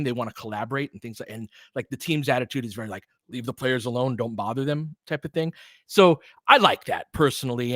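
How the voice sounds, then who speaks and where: A man's voice: mid-range voice, a bit bright, dry recording. American male sports livestream host, informal and slangy, mid-range voice, very dry close recording. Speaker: basketball play-by-play livestream host. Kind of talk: casual fan chat and play-by-play commentary.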